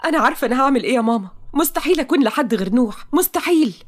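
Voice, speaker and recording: A woman's voice: fairly high pitch, animated, rapid-fire, pitched above the performer's own voice. A woman doing a tearful, defiant young Egyptian woman. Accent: Egyptian Arabic. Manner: pleading, tearful insistence. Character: desperate young woman refusing a forced marriage.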